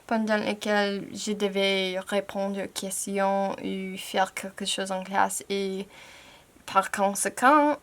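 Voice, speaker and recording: A young American woman whose first language is English speaks French in a slow, deliberate way. She takes her time and breaks off now and then to fix a word. It is a relaxed, candid home video recorded on a camera mic. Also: mid-range voice. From United States. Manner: slow, careful, hesitant with self-corrections. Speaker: language-learning YouTuber. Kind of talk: candid personal vlog in learner French.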